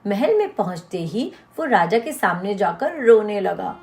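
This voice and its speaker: A woman's voice: medium pitch, sing-song, unhurried, darkish tone, soft background music. A woman narrator reading a scripted moral story for children.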